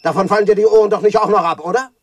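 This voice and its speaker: Eitler, heiserer Romanautor, etwas hohe Stimme. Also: male voice, sits high, fairly even intonation, rapid-fire. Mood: declaiming proudly. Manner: pompous, theatrical, swinging from muttering to declaiming. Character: vain, irritable, self-important male novelist. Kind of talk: German radio play acting.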